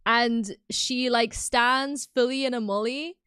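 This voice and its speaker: A British woman livestreaming, chatting casually and reacting loudly to her chat. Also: fairly high pitch, takes it slowly, clean tone, dry recording, studio quality. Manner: animated and exclamatory, reacting to chat. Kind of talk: casual live stream chat.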